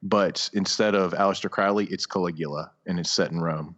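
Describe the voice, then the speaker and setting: Deep-voiced man riffing on a gaming podcast. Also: steady tone, a little fast, fairly bright tone. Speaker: podcast co-host. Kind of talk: casual riffing chat about games. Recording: slightly dull, lo-fi voice recording.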